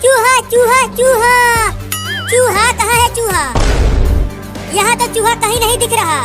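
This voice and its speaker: A performer voicing an excitable, foul-mouthed little cartoon boy in a childlike voice. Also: very high-pitched, measured pace. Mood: panicked. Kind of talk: Hindi comedy cartoon dubbing. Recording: loud children's music bed. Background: children's music.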